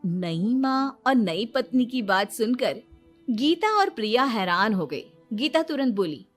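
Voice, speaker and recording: Lively, high-voiced woman storyteller. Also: measured pace, soft background music. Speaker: narrator. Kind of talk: scripted cartoon story narration.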